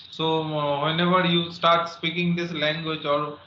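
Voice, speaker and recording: A man, a teacher speaking halting practice with many pauses and fillers on a video call. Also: on the high side, background noise. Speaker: teacher and English learner. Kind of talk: English practice conversation. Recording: muffled, echoey video call audio.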